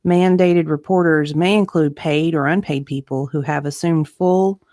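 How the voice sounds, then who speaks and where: Woman, low register, dry close sound, casual co-host who reads aloud. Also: fairly even intonation, moderate pace, mellow, no echo. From Southern United States. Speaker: online show co-host reading a deposition. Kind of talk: casual chat with read-aloud passages.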